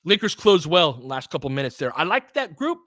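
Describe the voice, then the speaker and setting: A man doing live basketball play-by-play and analysis as a host, informal and openly partisan, excitable on big plays. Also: fairly high pitch, fast, dry recording, studio quality.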